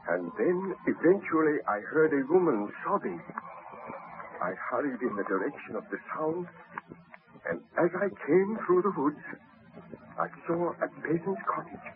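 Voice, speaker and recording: Remorseful young baron-scientist. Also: male voice, fairly high pitch. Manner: formal, earnest, solemn. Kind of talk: 1930s radio drama acting. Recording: tinny old radio broadcast with hiss.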